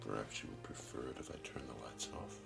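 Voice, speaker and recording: An actor playing a commanding man who coaxes quietly and courteously, hushed and measured, in an intimate audio drama. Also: a bass voice, gravelly, in a whisper, full character voice. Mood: soft, solicitous. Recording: music under the voice.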